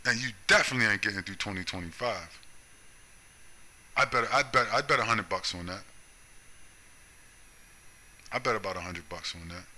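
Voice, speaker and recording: Sharp-voiced man ranting, blunt and profane. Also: fairly low pitch, quick pace. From United States. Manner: confrontational, profane, keeps tagging lines with "right?" and "you see?". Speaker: video commentator. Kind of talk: solo ranting monologue.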